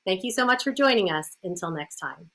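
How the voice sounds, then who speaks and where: A woman's voice: medium pitch, animated, brisk, pushed and bright. A woman presenting scripted remarks as the host of a broadcast. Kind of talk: scripted presenting to a live-stream audience.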